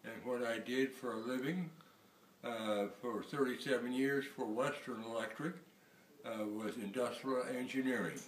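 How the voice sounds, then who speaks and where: A man, a retired engineer answering haltingly, with stammers and fillers. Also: mid-range voice. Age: 90s. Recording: roomy echo, faint noise.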